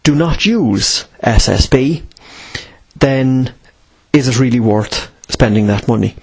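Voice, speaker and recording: A man's voice: medium pitch, slow, creaky, slightly rough. A man presents an informal instructional talk, often posing questions and answering them himself, repeating key points for emphasis. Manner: asks and answers own questions, emphatic repetition. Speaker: presenter of instructional radio videos.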